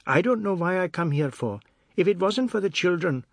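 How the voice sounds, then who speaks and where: A man's voice: high-pitched, very animated, normal speed, slightly rough, no echo, voice pushed up, exaggerated voice. A man reading a novel aloud, voicing an impatient wife who talks bluntly and scolds. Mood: scolding.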